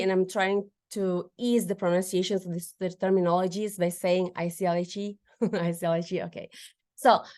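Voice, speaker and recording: A woman lecturer with an Indonesian accent, whose first language is a regional Indonesian one, giving a webinar presentation over a video call, with fillers, restarts and questions to the audience. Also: medium pitch. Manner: lively, frequent fillers and restarts, addresses audience with tag questions.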